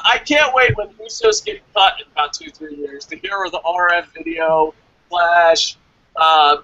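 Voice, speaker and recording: A man's voice: high voice, gravelly, pushed and bright, no room sound, highs cut off. A man co-hosting a wrestling review show in casual, opinionated chat, speaking bluntly and with plenty of swearing. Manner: profane, blunt.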